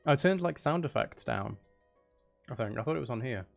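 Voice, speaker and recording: A man's voice: mid-range voice, animated, brisk, creaky, soft background music. A man who streams games, chatting casually and rambling in a self-deprecating way. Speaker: game streamer and audiobook narrator. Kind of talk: casual livestream chat and game commentary.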